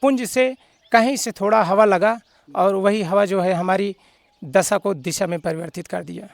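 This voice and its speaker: A man's voice: high voice, steady tone, creaky, no echo. A man in his thirties who sings devotional songs, speaking formally and devoutly. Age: 30s. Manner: devout, formal, slow drawn-out chanting. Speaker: devotional singer. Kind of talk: interview answers and bhajan singing.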